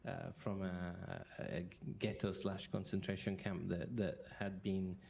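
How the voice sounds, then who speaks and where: A man's voice: sits low, little pitch movement, measured pace, creaky, darkish tone. A man interviewing a guest before a university audience, talking hesitantly with many restarts. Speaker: interviewer, history professor. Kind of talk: moderating a public interview.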